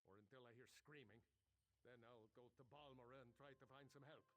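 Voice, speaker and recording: An actor playing a nervous, wry mine boss in a video game, speaking a little hesitantly. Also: male voice, medium pitch, steady tone, fairly quick, fairly bright tone, close to an everyday voice. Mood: wry, uneasy. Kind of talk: video game character acting.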